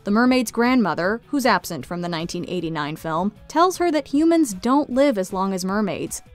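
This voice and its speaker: A woman's voice: mid-range voice, animated, moderate pace, faint music behind. A woman reading scripted video essay narration into a close studio microphone. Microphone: close studio voice-over mic.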